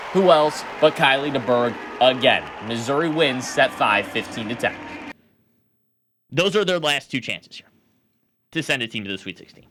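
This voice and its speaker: Bright, emphatic young male sportscaster. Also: mid-range voice, a little hiss or hum. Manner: emphatic, argumentative. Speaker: sportscaster and sports talk host. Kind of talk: sports commentary and opinion.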